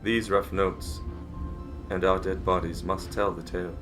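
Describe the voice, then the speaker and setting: Guy acting a worn out dying polar explorer, deep voice, stoic n formal, stage drama reading w music really loud behind. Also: level tone. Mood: resigned, dignified. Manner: solemn, formal, measured diary-like delivery. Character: weary dying male polar expedition leader, dignified and stoic. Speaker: amateur theatre actor. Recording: prominent music under the voice.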